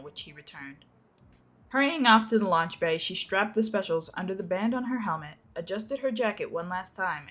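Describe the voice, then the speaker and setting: A woman's voice: lowish voice, a bit bright. A young woman narrating a dramatic reading of a fan-fiction story. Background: music.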